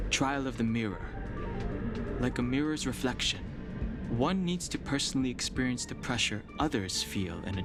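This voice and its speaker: An actor playing a calm, terse young male guard in a video game, speaking in a measured, deadpan, matter-of-fact way. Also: fairly low pitch, natural voice. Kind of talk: video game character dialogue. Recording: music behind the voice.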